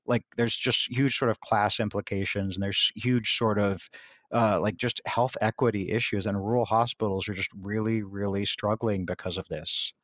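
A man's voice: on the low side, fairly even intonation, moderate pace, a bit bright, no room sound. Filler-heavy man on a dull dry mic. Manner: loose, filler-heavy, says like a lot. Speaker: podcast co-host. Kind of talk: casual podcast talk.

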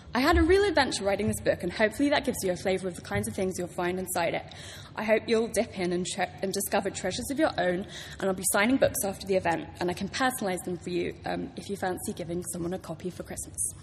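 A British woman author giving a prepared talk to a live audience, telling stories with enthusiasm. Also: mid-range voice. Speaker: author introducing a public reading. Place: large hall at a public library event. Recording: reverberant hall, clear background noise.

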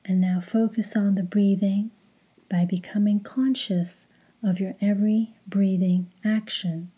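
Female meditation guide. Slow, soothing, deliberate delivery with a breathy, velvety low-lit tone. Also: steady tone. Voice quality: hushed, breathy.

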